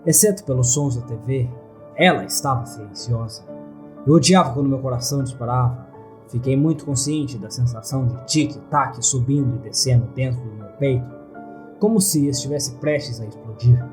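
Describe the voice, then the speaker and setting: A man's voice: medium pitch, normal speed, a little mellow, faint music behind. A man with a Brazilian accent reading a scripted first-person horror story as narrator.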